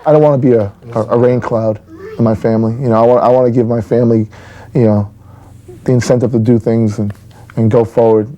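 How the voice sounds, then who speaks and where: A man's voice: mid-range voice, steady tone, a little fast, highs cut off. A middle-aged man talking casually and unscripted in an interview as a father, plainspoken and repeating phrases for emphasis. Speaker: father being interviewed at home.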